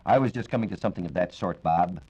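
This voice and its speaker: An American man giving a formal, measured, scripted science talk as a reporter on 1940s radio. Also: low voice, mellow, breathy edge. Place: radio studio. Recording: dull, band-limited 1940s radio recording.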